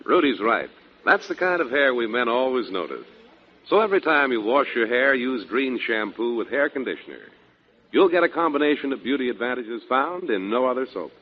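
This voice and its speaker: A man announcing a 1940s radio commercial as a polished sales pitch. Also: big pitch swings, brisk. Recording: muffled 1940s radio broadcast.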